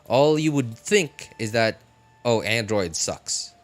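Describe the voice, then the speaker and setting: A man talking casually on a live game stream in a rambling, halting way, often correcting himself. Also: medium pitch, expressive.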